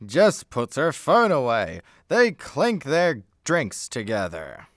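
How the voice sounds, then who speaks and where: A man's voice: medium pitch, wildly swooping pitch, slow, fairly bright tone, a little hiss or hum. A man reading scripted narration and stage directions as narrator of a radio play.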